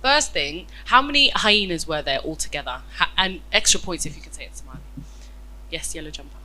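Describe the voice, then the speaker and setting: A woman's voice: deep voice, lively intonation, fairly quick, bright, forceful tone, a little hiss or hum, low-quality recording. Stuffy-nosed woman teaching children. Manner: encouraging, asks questions and praises. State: stuffy nose from a cold. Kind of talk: interactive lesson with children.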